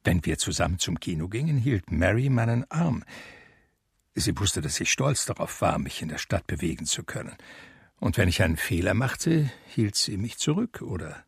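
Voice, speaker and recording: An actor playing a wistful blind pianist who narrates his life in a radio drama, measured and reflective, with a gravelly voice. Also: deep voice, big pitch swings, no echo, studio quality, exaggerated voice. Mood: reminiscing. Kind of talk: literary first-person radio drama narration.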